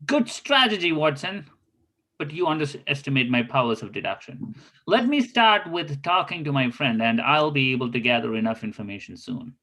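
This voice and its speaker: A man's voice: medium pitch, very animated, normal speed, pushed and bright, exaggerated voice, poor audio. A man in an amateur table read playing a pompous, genial detective and would-be writer with a theatrical manner. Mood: confident, breezy. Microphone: video call.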